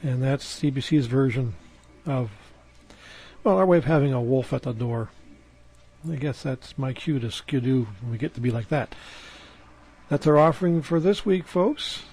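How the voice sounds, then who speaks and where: A man's voice: mid-range voice, normal speed, darkish tone, husky. A man hosting a radio show, speaking in an informal sign-off style. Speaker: radio host.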